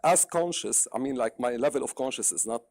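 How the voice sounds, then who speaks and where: Arabic-accented male caller, raspy and gravelly, halting and repetitive delivery. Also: mid-range voice, a little mellow. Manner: halting, repeats words, many fillers. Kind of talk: live debate call.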